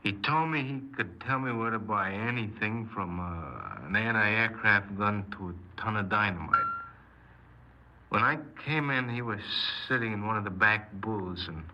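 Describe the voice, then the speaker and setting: Young criminal, low voice, cold, terse, guarded, 1950s radio drama, muffled noisy broadcast. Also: male voice, expressive, unhurried. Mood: halting confession. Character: cold, embittered young man confessing his crimes.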